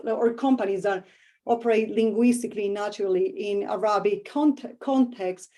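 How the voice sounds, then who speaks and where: Woman, Colombian Spanish accent, warm mellow tone, middle-aged, thoughtful, pauses with fillers. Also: medium pitch. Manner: thoughtful, searching for words with many fillers. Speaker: professor. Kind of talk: interview answers.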